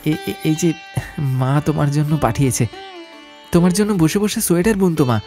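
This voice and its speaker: A man's voice: a little high, normal speed, over music, natural voice. A man voicing an affectionate young grandson in an audio story, earnest and pleading in his delivery. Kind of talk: Bengali audio story acting.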